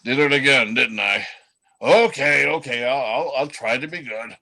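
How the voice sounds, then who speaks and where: A man's voice: mid-range voice, normal speed, gravelly, bright, forceful tone, heavily acted. A man reading the part of a good-natured, earnest talking reindeer in an amateur radio play. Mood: eager, sheepish. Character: good-natured talking reindeer who finishes others' sentences.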